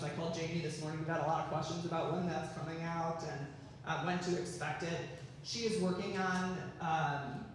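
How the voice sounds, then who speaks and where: A man with a fairly high voice talks staff through updates in a loose, chatty way full of ums. He sounds far from the microphone in an echoey hall with long reverb. Room noise is clearly audible behind him. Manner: chatty, filler-heavy with many ums. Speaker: HR presenter at a company meeting. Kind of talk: casual presentation to staff. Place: large meeting room with staff audience.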